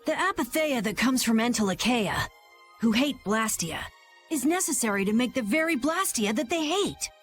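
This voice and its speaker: A woman's voice: fairly high pitch, expressive, moderate pace, soft background music, lightly acted. A woman voicing a blunt, clever teenage girl in a video game, sounding matter-of-fact and pointed. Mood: dry, matter-of-fact.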